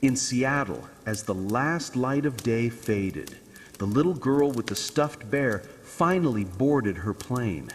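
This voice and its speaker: A man narrating a scripted bedtime story in a calm, soothing, dramatic way. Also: mid-range voice, takes it slowly, long reverb tail, slight background noise.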